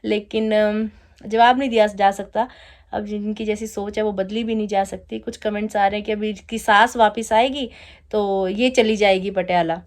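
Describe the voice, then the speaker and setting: A woman's voice: medium pitch, fairly even intonation, moderate pace. A woman in her thirties chatting casually in a home vlog, teasing and laughing as she talks to her viewers. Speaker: vlogger. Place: home at night, DJ music playing outside.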